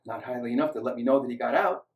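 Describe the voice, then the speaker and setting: A man voicing a grieving, guarded young man's sister in a story, quiet and wistful. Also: mid-range voice, racing, muffled recording, natural voice. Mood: bitter, sad. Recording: roomy, echoing, distant microphone.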